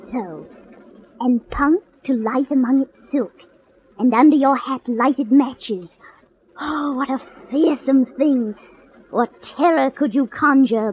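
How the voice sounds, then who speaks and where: A bewitching young pirate's bride speaks in a high voice, taking her time. She is wilful, greedy and coaxing, in a radio drama manner. The old recording is muffled, with light noise.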